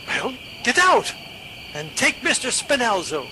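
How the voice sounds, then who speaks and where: An actor playing a sinister, commanding criminal brother in a radio play, with a threatening manner. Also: male voice, very high voice, huge pitch swings, measured pace, noisy background, exaggerated voice. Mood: urgent, bossy.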